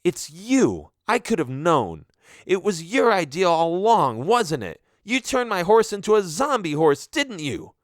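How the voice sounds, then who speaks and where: A man's voice: fairly high pitch, huge pitch swings, takes it slowly, fairly bright tone, no room sound, studio quality, voice pushed up, exaggerated voice. A man reading a story aloud, voicing a vain, scornful teenage girl who mocks and accuses in a raised voice. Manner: mocking, accusing.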